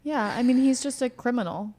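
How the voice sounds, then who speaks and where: A woman's voice: on the high side, a little fast, warm tone. A woman co-hosting a casual podcast chat, giving blunt opinions in short, declarative phrases. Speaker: podcast co-host. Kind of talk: casual conversational political chat.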